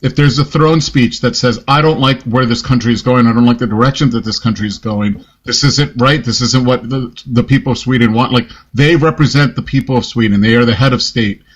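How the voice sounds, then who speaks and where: A man on a debate panel, cutting in to explain his view forcefully. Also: medium pitch, fairly quick, few pauses, muffled recording.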